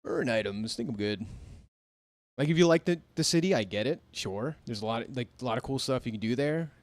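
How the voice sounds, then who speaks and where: A man's voice: medium pitch, lively intonation, a little fast, dry recording. Laid-back American man streaming a game. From United States. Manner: laid-back, laughs often, reads chat aloud. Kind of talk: casual live-stream chat while gaming. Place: home near a big city.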